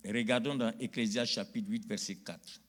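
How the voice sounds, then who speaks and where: A middle-aged pastor, preaching a sermon in a church, calling out to the congregation and rising to loud emphatic peaks. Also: male voice, fairly low pitch, fairly even intonation, normal speed.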